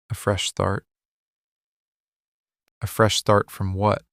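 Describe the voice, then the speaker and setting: A man acting a possessive, wounded mafia boss in an intimate boyfriend ASMR roleplay, speaking in controlled, deliberate, intense phrases. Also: lowish voice, dry recording, clean studio sound. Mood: hurt, probing. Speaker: ASMR voice actor.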